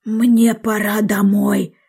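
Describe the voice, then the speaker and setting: A woman voicing a fussy old female magpie with a slow, drawn-out delivery. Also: mid-range voice, little pitch movement, vocal fry, darkish tone, breathy edge, full character voice.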